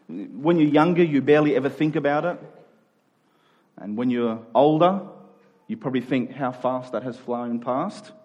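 Preacher with a husky edge. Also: male voice, a little high, a little mellow, lo-fi sound. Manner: repeats key phrases for emphasis, with rhetorical check-ins. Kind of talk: sermon.

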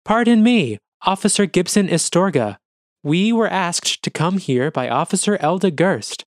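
A courteous, placating machine companion who speaks in a noticeably raised voice, as a man puts it on. Its manner is gentle and formal. The recording is close and dry. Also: high voice, very animated, normal speed, dry recording, voice pushed up. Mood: polite. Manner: polite, placating.

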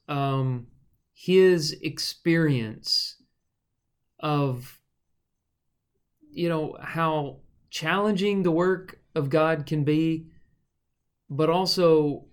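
A man hosting a podcast, talking through a Bible passage in a relaxed, explanatory way with a co-host. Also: fairly high pitch, clean tone. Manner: relaxed and explanatory, with frequent fillers. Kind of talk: conversational Bible teaching.

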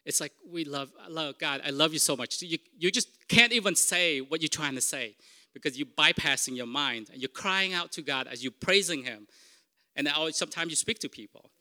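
Bright-voiced man preaching casually. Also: a little high, a little fast. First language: Vietnamese. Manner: conversational, self-correcting, asks the congregation "right?". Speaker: pastor. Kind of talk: informal sermon teaching.

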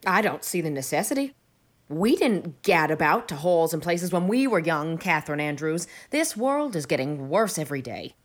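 A woman's voice: sits low, sing-song, moderate pace, voice pushed down. A woman voicing a grim, contemptuous spinster in her fifties, a born pessimist, in an audiobook story. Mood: grim disapproval. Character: grim, sour fifty-seven-year-old spinster, a born pessimist. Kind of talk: audiobook character dialogue.

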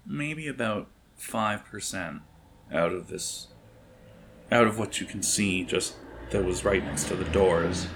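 A man narrating an improvised tabletop game as game master, in a halting, conversational way, speaking directly to his players. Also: low voice, a bit bright, a little hiss or hum.

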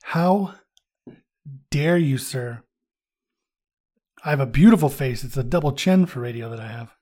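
A man's voice: mid-range voice, lively intonation, a little slow, mellow. Self-mocking man on a casual podcast. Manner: self-mocking, laughs often, swears casually. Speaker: podcast co-host. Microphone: studio podcast mic.

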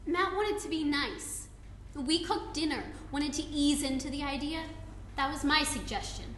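A woman acting out a loyal, peacekeeping but firm girlfriend in a live comedic play reading. Her voice is high and bright. The room is echoey. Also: normal speed. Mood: stern. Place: indoor room before a live audience. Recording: echoey live room, faint background noise.

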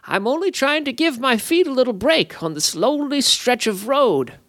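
Raspy, sly little fey imp. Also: male voice, very high-pitched, lively intonation, normal speed, fairly bright tone, dry recording, studio quality, pitched above the performer's own voice, full character voice. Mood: wheedling. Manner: wheedling, falsely apologetic. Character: sly impish little male winged fey creature.

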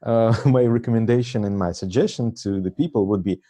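A man in his forties with a Russian accent and a somewhat low voice, chatting as an interview guest. He hesitates and fills pauses often, breaking into laughs as he goes. His first language is Russian. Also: dark tone. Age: 40s. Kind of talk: casual conversation.

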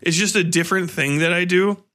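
Male podcast host, higher-pitched and very bright, informal and jokey. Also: steady tone, fairly quick. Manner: informal, freely swearing. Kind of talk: casual, joking podcast banter.

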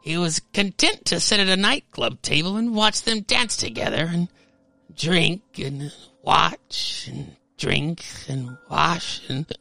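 Slightly high-voiced man narrating dramatically. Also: slow, creaky, pushed and bright, no room sound, soft background music. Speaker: podcast host reading stories. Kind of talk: dramatic scripted story narration.

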